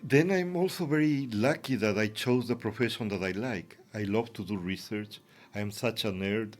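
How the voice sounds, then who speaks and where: Male professor, low voice, Ecuadorian Spanish accent, answering interview questions reflectively. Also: normal speed. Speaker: academic guest, professor.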